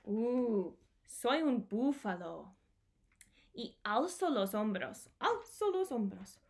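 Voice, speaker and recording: A woman in her thirties reading aloud to young children in an animated way, with simple repeated phrases. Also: a little high, very slow. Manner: animated, simple repeated phrases, switches between Spanish and English. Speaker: children's storytime host. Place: small home room with bookshelves.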